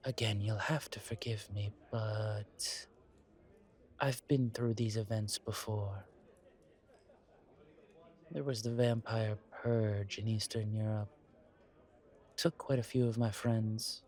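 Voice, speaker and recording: A man playing a genteel, world-weary vampire in an audio roleplay, speaking in a courtly, deliberate way with pauses and sighs. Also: on the low side, fairly even intonation, darkish tone. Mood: pensive, guarded. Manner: courtly, deliberate, pausing, sighing between phrases. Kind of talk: slow audio roleplay acting, addressed to listener.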